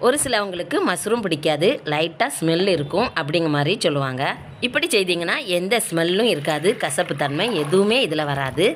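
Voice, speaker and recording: Female home cook, southern Tamil Nadu accent, slightly low pitch, plain colloquial instruction for a cooking tutorial. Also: expressive, fairly quick, a little hiss or hum. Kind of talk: step-by-step recipe narration.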